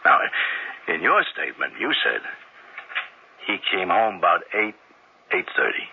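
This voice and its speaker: Dogged police sergeant, deep husky voice that swings widely, old dull radio sound. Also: male voice, huge pitch swings, exaggerated voice. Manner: coaxing, probing, halting restarts. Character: persistent male police detective sergeant, middle-aged. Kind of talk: 1970s radio drama acting.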